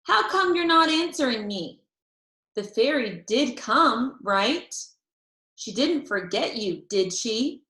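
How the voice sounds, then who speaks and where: A woman's voice: on the high side, lively intonation, slow, sharp tone, heavily acted. A woman voicing a wide-eyed, frank young schoolboy in a children's storybook, with a blunt, questioning manner. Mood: curious. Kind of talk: children's storybook read-aloud. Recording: roomy echo.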